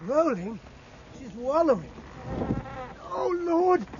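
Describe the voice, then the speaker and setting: An actor playing an impatient, self-critical young British naval officer in a 1950s radio drama. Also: male voice, very high-pitched, sing-song, slow pace, background noise, full character voice. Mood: exasperated. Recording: dull old radio recording, faint music.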